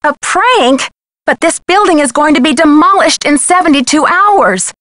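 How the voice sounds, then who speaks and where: Young amateur detective, game acting, earnest, slightly high pitch, polite, close dry sound. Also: female voice, animated, moderate pace, a bit bright, no echo. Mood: worried, insistent. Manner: polite, earnest, clearly spoken.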